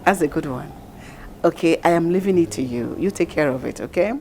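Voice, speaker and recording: A woman's voice: sits low, animated, brisk, a little mellow, faint music behind. A woman with a Nigerian accent playing an exasperated middle-aged queen in a film drama. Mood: relieved. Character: exasperated middle-aged queen worried about her unmarried son. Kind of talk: Nollywood film acting.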